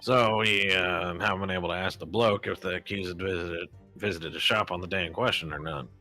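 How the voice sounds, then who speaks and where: A man's voice: low voice, fairly even intonation, fairly quick, bright, forceful tone, soft background music. A man voicing a brusque, middle-aged male police inspector who talks in an offhand, dismissive way, in a fan dub of a game.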